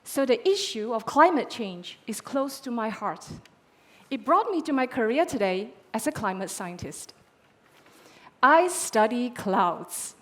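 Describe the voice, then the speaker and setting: Woman giving a dramatic, measured pitch. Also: sing-song, fairly bright tone. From Malaysia. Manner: dramatic, measured delivery with pauses. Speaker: postdoctoral climate scientist. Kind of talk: rehearsed pitch speech. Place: conference stage.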